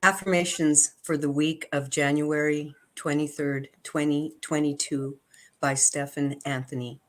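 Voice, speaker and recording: A woman reading affirmations and spiritual texts aloud in a measured, devotional way. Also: low voice, flat delivery. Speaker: affirmations and scripture reader.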